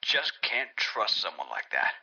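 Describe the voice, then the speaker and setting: An actor playing a baffled, uneasy accountant, folksy and hesitant, in a game audio log. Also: male voice, low-pitched, very animated, a little slow, bright, forceful tone, dull audio, full character voice. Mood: wary. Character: baffled, uneasy, plain-spoken male company accountant. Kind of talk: audio log in a cartoon horror video game.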